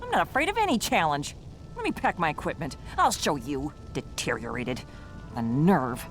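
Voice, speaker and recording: A proud, reclusive old gnome lady in a fantasy game. She is gruff and quick to scoff, with a very lively pitch. Soft music sits behind her. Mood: indignant. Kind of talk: fantasy video game acting. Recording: faint music underneath.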